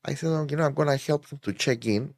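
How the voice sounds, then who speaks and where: A man's voice: fairly high pitch, no room sound. Man, middle-aged, hesitant speech with restarts, dry close sound. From Cyprus. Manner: halting, searching for words with many restarts. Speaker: hotel managing director, guest. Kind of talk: casual anecdotal storytelling.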